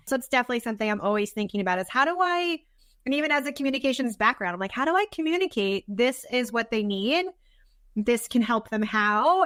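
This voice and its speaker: A woman's voice: a little high, no room sound, clean studio sound. A woman being interviewed as a guest, talking casually and earnestly, often acting out little quotes of herself and others. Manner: earnest, animated self-quoting, informal. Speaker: podcast guest, business consultant.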